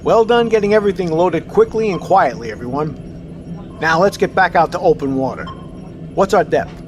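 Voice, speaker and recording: A man voicing a weary submarine captain who gives his orders calmly and briskly, in a slightly higher register. Music plays clearly behind him in this audio drama. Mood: calm command. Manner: calm, commanding, brisk orders. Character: tired, decisive male submarine captain.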